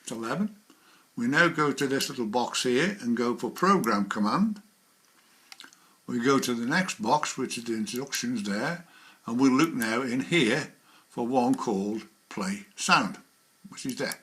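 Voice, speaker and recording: Bright-voiced man giving a hands-on demonstration. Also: mid-range voice, expressive, unhurried. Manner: hands-on, talking through each click as he goes. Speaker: presenter of a how-to video. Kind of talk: informal step-by-step software demonstration.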